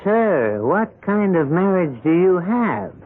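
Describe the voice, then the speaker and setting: High-voiced, menacing loan shark. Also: male voice, very animated, takes it slowly, narrow, tinny audio, full character voice. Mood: coolly threatening. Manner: insistent, repetitive, coolly threatening. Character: coldly menacing loan shark, insistent and smooth-talking. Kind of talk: 1970s radio drama acting. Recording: thin band-limited old radio broadcast.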